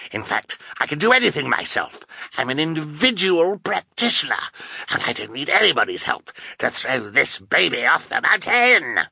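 A man voicing a vain, menacing male villain in a storybook, with a raspy, gravelly voice and a bellowing, theatrical manner. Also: a little high, big pitch swings, normal speed, sharp tone, no room sound, voice pushed up, heavily acted. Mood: furious.

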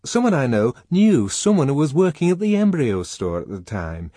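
A male narrator plays a society woman in a novel, eagerly passing on gossip. The voice is lifted high, with lively swings in pitch. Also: moderate pace, slightly rough, no echo, clean studio sound. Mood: gossipy.

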